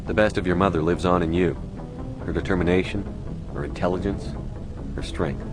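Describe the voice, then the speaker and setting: An actor playing a stoic, steady human military commander in a video game, speaking in a measured, direct way. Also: male voice, deep voice, close to an everyday voice. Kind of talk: video game dialogue acting. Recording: music behind dialogue.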